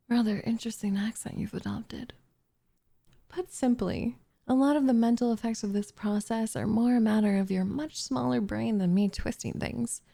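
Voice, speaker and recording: Woman playing a soft, whispery, possessive witch, slightly creaky. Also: medium pitch. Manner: cooing, teasing, smugly condescending, often whispery. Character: doting, possessive young witch turning captive into pet cat. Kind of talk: ASMR roleplay, soft intimate delivery.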